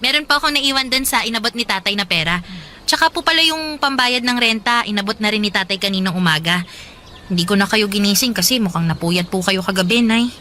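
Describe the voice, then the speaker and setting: A woman's voice: mid-range voice, quick pace, sharp tone, slight background noise, close to an everyday voice. A woman playing a polite, dutiful teenage daughter in a radio drama, speaking respectfully to her mother. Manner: respectful, uses polite forms.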